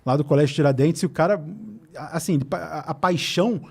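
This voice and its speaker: Carioca man, slightly high voice, quick and chatty, podcast guest. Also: gravelly, husky. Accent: Carioca Portuguese. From Rio de Janeiro, Brazil. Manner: fast, animated storytelling with easy laughs. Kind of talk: casual podcast conversation. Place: podcast studio.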